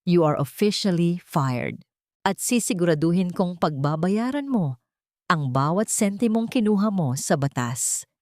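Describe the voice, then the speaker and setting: A woman's voice: lowish voice, lively intonation, measured pace, darkish tone, no room sound, studio quality. Woman voicing a cold, commanding Filipino chairwoman. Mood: cold, firm. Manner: firm, commanding. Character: cold, powerful young billionaire chairwoman.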